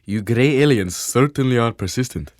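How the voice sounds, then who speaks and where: An actor playing a testy, pompous Pharaoh with an imperious manner in a comedic audio drama. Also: male voice, medium pitch, animated, moderate pace, a little mellow, no echo, clean studio sound, lightly acted. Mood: weary, dismissive. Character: testy, pompous male Pharaoh of ancient Egypt. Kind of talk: comedic audio theatre acting.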